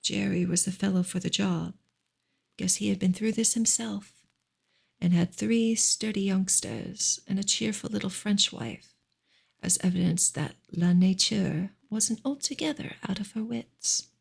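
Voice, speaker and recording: A woman reads narrative prose in a soft, intimate ASMR style. Her voice is heavily breathy and thick with creak, sitting at an average pitch. The delivery is gentle and hushed, often dropping into a whisper. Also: a little slow. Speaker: ASMR reader. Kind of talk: soft ASMR audiobook reading, partly whispered.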